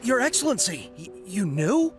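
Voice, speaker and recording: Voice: mid-range voice, big pitch swings, normal speed, over music, natural voice. An actor voicing an earnest, zealous young soldier in a video game, speaking formally and deferentially to a superior. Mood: startled.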